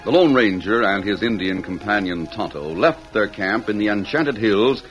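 A man's voice: fairly low pitch, lively intonation, normal speed, a bit bright. A man narrating an old-time radio western as its announcer, in a dramatic, declamatory scripted style. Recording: dull old radio recording with music.

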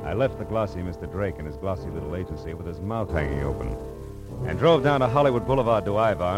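An actor playing a wry, tough private detective, clipped and world-weary, in a 1940s radio drama. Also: male voice, sits low. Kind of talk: 1940s radio drama acting and noir narration. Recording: vintage radio recording with music.

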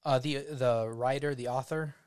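A man's voice: mid-range voice, steady tone, normal speed, darkish tone, slight background noise. A man co-hosting a podcast in casual chat, teasing his partner and rambling a little. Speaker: podcast co-host.

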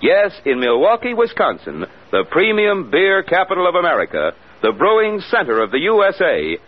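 A man announcing a vintage radio advertisement in an emphatic, polished salesman's manner. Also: a little high. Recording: muffled old radio recording.

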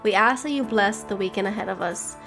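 A woman's voice: mid-range voice, fairly quick, fairly bright tone, faint music behind. Stuffy-voiced woman leading a devotional. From Miami, Florida. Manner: earnest, heartfelt, speaks straight to listeners. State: stuffy from a cold. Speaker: podcast host leading devotional prayer. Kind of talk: daily devotional talk and prayer.

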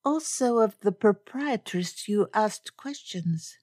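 A woman's voice: mid-range voice, animated, slow, darkish tone, no room sound, studio quality, voice pushed up. A woman voicing a poised, grave young foreign lady in an audiobook, with quaint formal phrasing and a subtly teasing manner. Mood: grave, questioning.

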